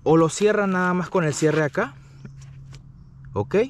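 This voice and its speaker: A man giving a casual, explanatory hands-on product review, often pausing to check the listener follows. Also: sits high. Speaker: product reviewer, channel host. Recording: distant mic, faint background noise.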